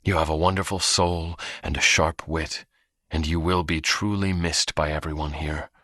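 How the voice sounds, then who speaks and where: An actor playing a proud, theatrical vampire in a video game, speaking in a pompous, formal and dramatic way. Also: male voice, extremely low pitch, fairly even intonation, moderate pace, pushed and bright, dry recording, studio quality, heavily acted. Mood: solemn, fond.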